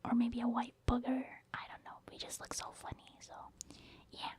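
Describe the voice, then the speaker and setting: A woman whispering softly and breathily in a casual, rambling ASMR commentary over video gameplay. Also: fairly high pitch, monotone, moderate pace. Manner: casual, rambling, gentle explaining. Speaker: gaming ASMR video host.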